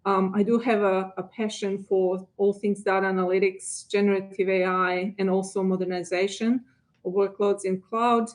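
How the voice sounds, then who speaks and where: A woman guest on a podcast introducing herself as a chief technologist, polite and measured. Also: medium pitch, level tone. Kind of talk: interview answers.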